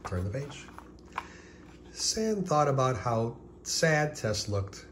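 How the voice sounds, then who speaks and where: A man reading a children's picture book aloud as scripted narration, deliberately, with pauses before key words. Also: medium pitch, very animated, a bit bright, slight background noise.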